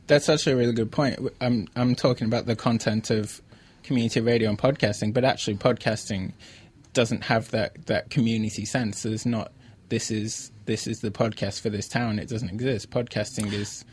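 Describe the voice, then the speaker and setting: An Australian man co-hosting a podcast, chatting casually in a halting, thoughtful, informal way. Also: on the low side, steady tone, darkish tone, breathy edge. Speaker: podcast co-host, interviewer. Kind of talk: casual chat.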